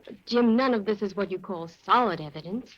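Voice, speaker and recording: A woman's voice: medium pitch, fairly quick, close to an everyday voice. An actress playing a loyal, worried young female assistant in a 1940s radio drama. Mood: earnest. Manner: eager, worried. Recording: dull, noisy 1940s radio recording.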